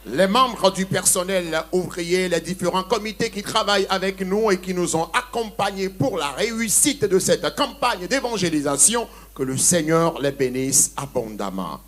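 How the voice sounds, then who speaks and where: A man's voice: sits high, moderate pace, few pauses, a bit bright. A middle-aged man with a Togolese accent, an evangelist giving solemn testimony to a crusade in short, declarative phrases. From Togo. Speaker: evangelist preacher.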